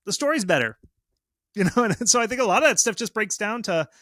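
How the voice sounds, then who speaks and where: A man giving advice as a guest expert in a casual interview, speaking in an animated, informal, self-deprecating way. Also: high-pitched, a little fast, no echo, clean studio sound. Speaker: guest game marketing expert. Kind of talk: casual interview talk, explaining and advising.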